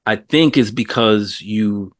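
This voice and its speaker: A man's voice: lowish voice, slow, no room sound, clean studio sound. A man from New York City hosting a casual interview, talking loosely, swearing and laughing often. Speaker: host.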